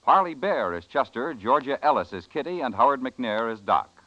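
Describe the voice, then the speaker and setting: A man's voice: medium pitch, animated, moderate pace, bright, forceful tone, no room sound. A man working as a radio announcer in formal, emphatic 1950s broadcast style. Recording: muffled old radio broadcast recording.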